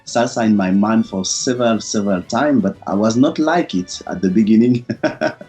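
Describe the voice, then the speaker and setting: A man interviewed about his past, speaking hesitantly with many pauses and small laughs. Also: lowish voice, a little mellow. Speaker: interview guest. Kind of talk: casual interview answers. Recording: faint music behind.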